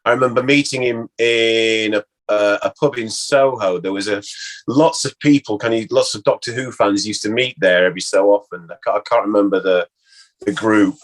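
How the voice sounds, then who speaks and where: A man chatting casually as an interview guest, reminiscing and thinking aloud in a halting way. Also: lowish voice, steady tone, poor audio.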